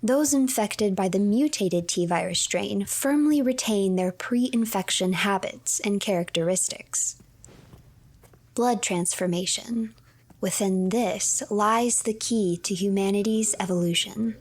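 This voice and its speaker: Woman streamer doing story narration over a game, measured, medium pitch, reads files out loud. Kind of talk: scripted story narration and reading files aloud.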